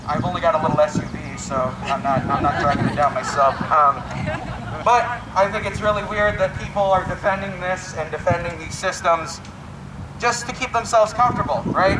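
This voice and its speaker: High-voiced man speaking at a protest. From Canada, First Nations reserve. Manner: direct, conversational, questioning the crowd. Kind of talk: impromptu rally speech. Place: outdoors at a street protest. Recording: roomy, distant, loud wind noise.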